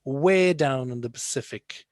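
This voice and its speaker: Joking Irish teacher on a video call. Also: male voice, medium pitch, unhurried, no echo, studio quality. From Ireland. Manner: chatty, joking, rambling asides. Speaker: English teacher. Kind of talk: casual online class lecture.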